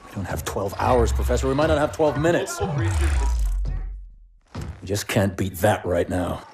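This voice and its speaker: A man's voice: on the low side, lively intonation, a little slow, a crowd in the background. A man playing a stern, weary, skeptical older military commander in a video game cutscene, with restrained cinematic delivery. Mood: grave, urgent.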